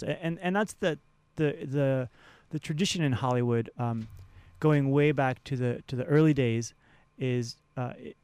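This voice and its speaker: A man speaking as a radio interview guest, explaining in a halting way and often repeating words as he goes. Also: mid-range voice, a little mellow, clear, resonant tone, dry recording. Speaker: interview guest, theatre sound designer and professor. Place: radio studio. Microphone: studio microphone.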